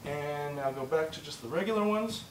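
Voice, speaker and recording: A man teaching a movement drill step by step, encouraging and repeating himself, slightly hoarse and short of breath. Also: fairly high pitch, lively intonation, brisk, echoey room, distant mic, a little hiss or hum, poor audio. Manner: encouraging, repeats "just like that" and "good". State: losing his voice, a bit out of breath. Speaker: instructor. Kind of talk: step-by-step demonstration lesson.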